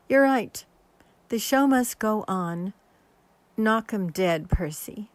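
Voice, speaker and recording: An actress playing a weary, long-suffering magician's assistant in a radio mystery play, frank and reproachful. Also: female voice, mid-range voice, expressive, drawn-out words, no room sound. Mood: resigned.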